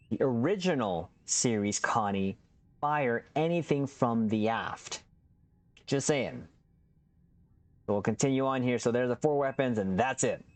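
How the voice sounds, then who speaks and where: A man in the middle of his pitch range walks viewers through a game build at an easy, casual pace. He sounds upbeat and chatty and keeps calling his audience captains, like a regular host speaking to his own channel. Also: a little mellow, no room sound.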